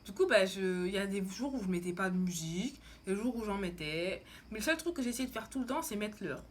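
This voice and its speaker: A young woman vlogger talks casually at a middling pitch, in a slangy, meandering way. She sounds like she is thinking aloud for her followers. There is faint noise in the recording. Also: animated, brisk. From France. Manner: informal, slangy, rambling. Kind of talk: casual chat to camera.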